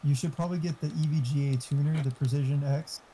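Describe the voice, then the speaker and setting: A man's voice: fairly high pitch, fairly even intonation, fast talker, mellow, low-quality recording. A man chatting casually and giving tech advice, swearing freely as he goes. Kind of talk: casual chat.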